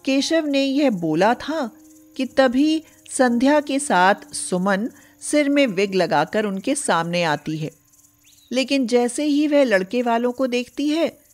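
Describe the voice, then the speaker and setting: A woman narrating a scripted moral story as a storyteller. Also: fairly high pitch.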